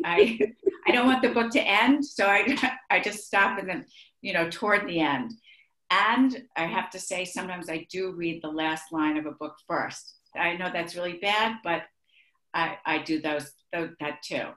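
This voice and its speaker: Author guest: middle-aged woman, Israeli accent, lowish voice, hesitant, echoey home room on a video call. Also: moderate pace, fairly bright tone. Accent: Israeli-accented English. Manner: hesitant, frequent restarts, laughs easily. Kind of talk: online author interview.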